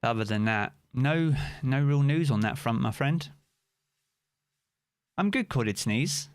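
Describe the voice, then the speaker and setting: A man's voice: medium pitch, slow, clear, resonant tone, no room sound, clean studio sound. Chatty British man streaming live. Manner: chatty, relaxed, answers chat by name. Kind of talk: casual live-stream chat reading viewer comments.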